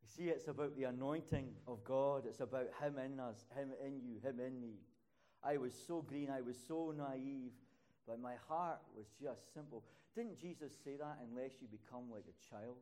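An older man preaching a sermon and telling stories from his ministry, climbing to loud, high peaks when he stresses a point.

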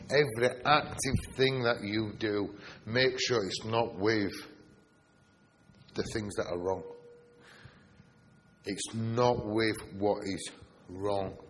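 Yorkshire preacher in an echoing hall. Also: male voice, fairly low pitch. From Yorkshire, England. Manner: emphatic, drawn-out stresses with rhetorical questions. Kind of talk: sermon. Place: church hall. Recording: reverberant hall with faint noise.

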